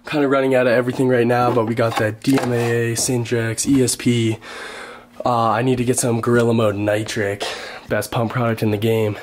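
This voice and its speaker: A man's voice: medium pitch, level tone, fairly quick, noisy background. A young man touring his house and talking about his workouts in a casual vlog, rambling with lots of "like.". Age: young adult. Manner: laid-back, rambling with many "like" fillers. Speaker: fitness vlogger. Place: house and car, handheld. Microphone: handheld camera, later a voice-over mic.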